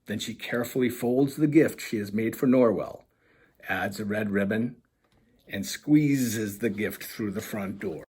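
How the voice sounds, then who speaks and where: A man reads a children's story in a fairly low register. His voice has a husky grain, and he narrates in the manner of a storytime reading. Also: a little slow, a little mellow. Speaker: author reading own picture book.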